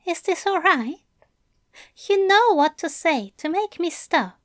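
A woman's voice: extremely high pitch, animated, takes it slowly, fairly bright tone, no room sound, studio quality, pitched above the performer's own voice, exaggerated voice. A woman voicing a tender, teasing male angel lover in an audiobook, coaxing and fussily polite with endearments. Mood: gently teasing. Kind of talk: fan fiction audiobook character voice.

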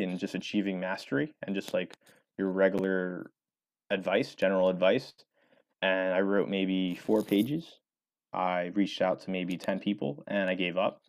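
A man's voice: low voice, a little mellow. A young man in his twenties answering interview questions casually, rambling with many fillers and restarts. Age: 20s. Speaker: author and former college baseball player.

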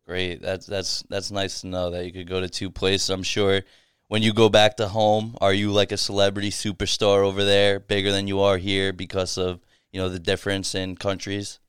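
A man's voice: sits low, completely flat delivery, normal speed, a bit bright, clear, resonant tone, no room sound, clean studio sound. A man hosting a podcast, asking questions in a casual, loose way with free swearing. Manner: casual, swears freely, laughs often. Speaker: podcast host. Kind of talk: casual interview chat.

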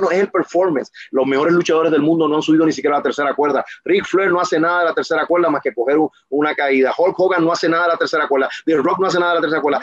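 A man's voice: fairly high pitch, breathy edge, no room sound, dull audio. Passionate, emphatic man in an interview. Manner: passionate, emphatic, rambling with restarts. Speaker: guest, professional wrestler. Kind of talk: casual interview talk.

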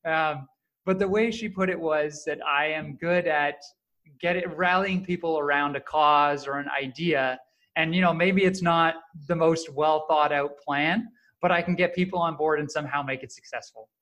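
A man in his thirties, a startup co-founder answering podcast questions in a friendly, joking, relaxed way. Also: on the high side, normal speed, rough recording. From Canada. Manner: friendly, jokey, relaxed storyteller. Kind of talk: casual interview answers.

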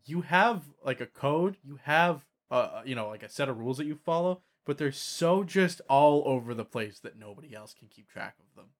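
A man's voice: medium pitch, animated, normal speed, few pauses. A man hosting a casual tabletop gaming podcast, explaining his ideas and checking that others follow. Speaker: podcast host. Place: online voice call, recorded at own microphone.

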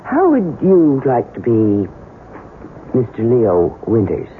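A scheming American wife in a seventies radio play. She is seductive and insistent, with sweeping, highly animated intonation. The sound is tinny, with a faint hiss. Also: slow pace, heavily acted. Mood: coaxing, probing. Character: scheming, seductive wife coaxing her lover toward murder. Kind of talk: 1970s radio drama acting. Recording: tinny, faintly hissy old radio broadcast.

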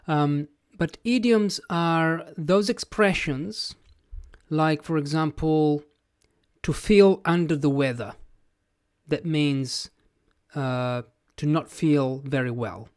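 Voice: mid-range voice, slow, darkish tone, no room sound, studio quality. An English teacher whose first language is Italian, speaking with an Italian accent in a plain-spoken, reflective podcast lesson, thinking aloud as they go.

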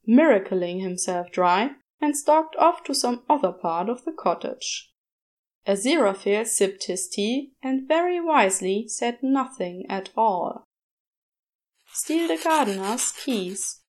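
A woman's voice: fairly high pitch, lively intonation, slow, a little mellow. A woman reading a story aloud as scripted narration. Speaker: podfic reader.

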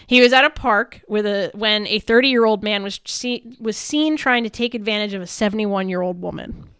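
A woman's voice: mid-range voice, moderate pace, fairly bright tone, clear, resonant tone, no echo, studio quality. A woman co-hosting a sports talk show, chatting casually and giving her opinions as she recaps the news.

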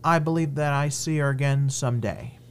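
Slow, halting man. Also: medium pitch, a little hiss or hum. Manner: slow and halting, with simple sentences. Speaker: guest.

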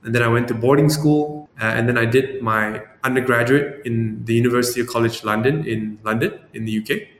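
A man's voice: steady tone, fairly quick, hardly any pauses. A man from Malaysia in his thirties, a startup founder answering interview questions thoughtfully, with many fillers and tag questions. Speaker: startup founder and CEO guest. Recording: echoey room with long reverb, faint rumble.

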